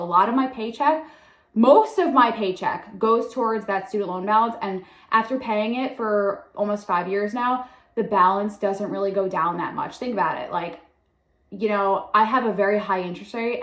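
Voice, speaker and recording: A woman's voice: mid-range voice, fairly quick, reverberant. A woman, talking candidly to camera in a casual introduction, filling her speech with "like". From Long Island, New York. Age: 20s. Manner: chatty, heavy use of "like", candid. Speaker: content creator. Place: room in her sister's house.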